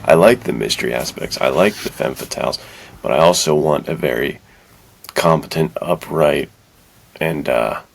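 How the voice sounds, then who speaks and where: A man's voice: a bass voice, moderate pace, gravelly. A man co-hosting a podcast, talking casually and enthusiastically about films with strong opinions. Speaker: podcast co-host. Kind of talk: casual film discussion.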